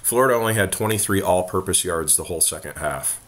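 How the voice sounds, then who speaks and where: A very deep-voiced man with a hoarse, worn voice hosts a casual sports chat. He keeps it laid-back, joking with his co-host as he runs through the games. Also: quick pace, a bit bright. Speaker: podcast host.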